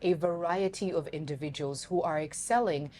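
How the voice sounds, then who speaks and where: A woman from Uganda hosting a casual interview, lively and laughing, calling her viewers family. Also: deep voice, moderate pace, a little hiss or hum. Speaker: host.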